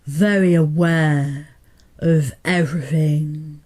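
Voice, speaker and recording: Woman doing guided hypnotherapy, low mellow voice, soothing n deliberate, lots of pauses. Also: fairly even intonation. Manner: soothing, slow, deliberate pauses between short phrases. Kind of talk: guided meditation hypnotherapy narration.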